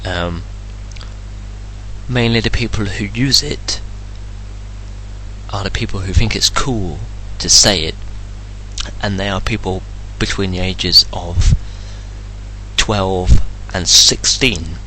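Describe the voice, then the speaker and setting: A man's voice: deep voice, slow pace, pauses often, pushed and bright, slight background noise, low-quality recording. An English man ranting indignantly and bluntly in a solo vlog, swearing freely. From England. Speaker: vlogger.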